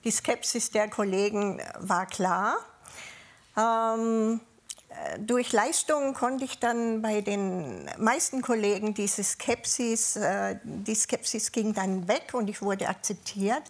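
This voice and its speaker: Female interview guest from southern Germany, mid-pitched, recounting her working life frankly, with searching pauses. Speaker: interview guest, former police officer. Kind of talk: personal recollection in conversation.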